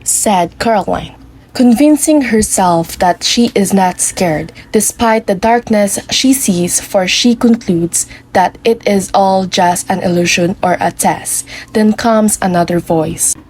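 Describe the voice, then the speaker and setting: Mid-pitched woman storyteller with background noise. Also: takes it slowly, a little mellow. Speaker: storyteller, narrator. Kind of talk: scripted puppet show narration. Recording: clearly noisy recording with ambience.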